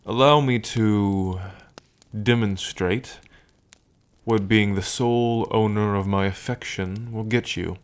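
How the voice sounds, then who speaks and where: Man acting a slow, low-voiced dragon king lover. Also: steady tone, clean tone. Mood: tender, teasing. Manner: unhurried, murmuring pauses, hums and soft chuckles. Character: smitten young male dragon king, tender and teasing. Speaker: ASMR roleplay performer. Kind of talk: slow intimate ASMR romance roleplay.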